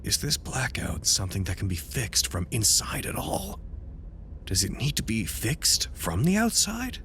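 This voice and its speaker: An actor playing a dutiful researcher at an underground anomaly site in an audio drama, speaking in a formal, wordy way with dry wit. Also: male voice, fairly low pitch, huge pitch swings, unhurried, a bit bright, slight background noise, exaggerated voice. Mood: grave, analytical. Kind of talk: audio drama, recorded log monologue.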